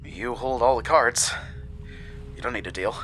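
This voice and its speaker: An actor playing a frightened, confused male prisoner in a horror audio drama, halting and stammering as he speaks. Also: mid-range voice, a bit bright, a little hiss or hum, close to an everyday voice. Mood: wary, defiant.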